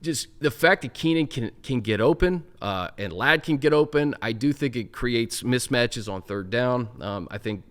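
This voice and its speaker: A man's voice: medium pitch, normal speed, few pauses, fairly bright tone. A man with a middle-range voice hosts a sports podcast. He steers the talk from topic to topic in a conversational way and rattles off stats as he goes. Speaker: podcast host.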